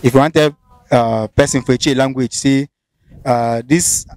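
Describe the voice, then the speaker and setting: Probing Nigerian interviewer with a pidgin accent. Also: male voice, medium pitch, fairly even intonation, a little slow, a little mellow. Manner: probing, repeats his questions. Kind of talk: casual street interview.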